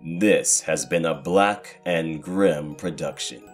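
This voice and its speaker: A man narrating first-person horror fiction for a podcast in a deliberate, brooding, dramatic way with short phrases. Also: extremely low pitch, soft background music.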